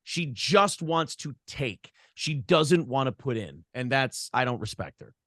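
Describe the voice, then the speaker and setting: A man's voice: medium pitch, animated, measured pace, sharp tone, dry recording, studio quality. An American man talking casually as an interview guest, blunt and opinionated, with profanity and the practised talk of a radio show host. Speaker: morning show host and former radio broadcaster.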